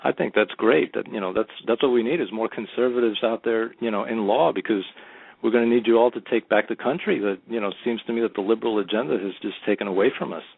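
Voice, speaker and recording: Fast-talking gravelly talk radio host. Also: male voice, on the low side, dry recording. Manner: rapid, rambling, repeats words. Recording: tinny phone-quality audio.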